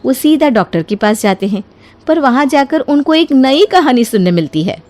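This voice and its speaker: A woman narrating a scripted story in an expressive storytelling manner. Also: sits high, normal speed. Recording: music behind the voice.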